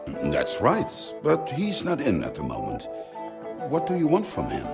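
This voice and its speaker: A man's voice: mid-range voice, huge pitch swings, unhurried, creaky, over loud music, heavily acted. An actor playing an offhand, guarded but helpful medieval townsman in a video game. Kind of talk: video game cutscene acting.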